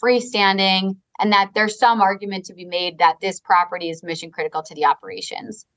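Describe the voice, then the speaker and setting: A woman from Long Island with a Long Island accent, a company co-founder talking through a business interview in fluent, fast talk full of "like" and "you know". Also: medium pitch, fairly even intonation, a bit bright. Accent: Long Island New York. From Long Island, New York. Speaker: guest, real estate advisory co-founder.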